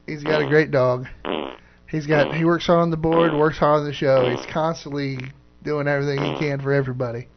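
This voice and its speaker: A man's voice: fairly high pitch, flat delivery, brisk, gravelly, slight background noise. Laid-back man co-hosting a radio show. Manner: laid-back, modest, laughs often. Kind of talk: casual banter on internet radio. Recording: dull lo-fi audio.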